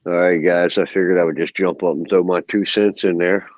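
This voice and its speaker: A preachy, emphatic man in his fifties, holding forth on a casual livestream call. His voice sits fairly low. The call audio is dull and lo-fi, and it sounds dry. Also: fairly even intonation, brisk, no room sound. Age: 50s. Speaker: caller on a livestream. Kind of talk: casual political rant.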